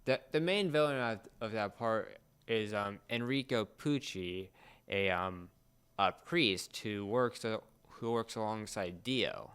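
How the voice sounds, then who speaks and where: A young man with a clean, smooth voice that sits somewhat low. He co-hosts a casual podcast and talks haltingly, often feeling around for the right word. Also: a bit bright. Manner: halting, searching for words. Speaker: podcast co-host. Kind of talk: casual chat about entertainment.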